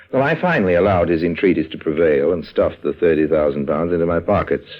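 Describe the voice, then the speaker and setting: An actor playing a smooth, roguish con artist in an old radio drama, wry and urbane with a show of reluctance. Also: male voice. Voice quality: deep voice. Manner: wry, urbane, hesitating and hedging when cornered. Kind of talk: 1950s radio drama acting and narration. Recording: dull, muffled old radio recording.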